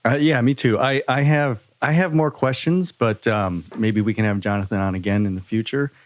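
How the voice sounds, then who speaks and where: A man's voice: medium pitch, steady tone, normal speed. A man co-hosting a podcast in a casual, joking conversational way. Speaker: podcast co-host. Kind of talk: casual chat.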